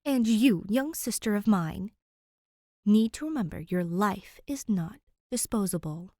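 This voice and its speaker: A woman's voice: medium pitch, expressive, a little slow, darkish tone, dry recording, studio quality, lightly acted. A woman voicing a fond, bossy big sister in a story, firm, mock-formal and exasperated. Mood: firm scolding.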